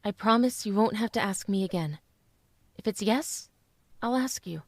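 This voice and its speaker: A woman playing a gentle, earnest young widowed mother in an old-time radio drama. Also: mid-range voice, moderate pace, dry recording, natural voice. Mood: hesitant, fond.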